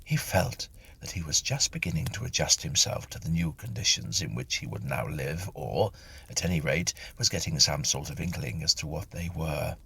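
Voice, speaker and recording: A man reading a whimsical literary ghost story aloud in an expressive, theatrical and precise storyteller's manner. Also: very deep voice, normal speed, creaky, a little hiss or hum. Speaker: story narrator and reader.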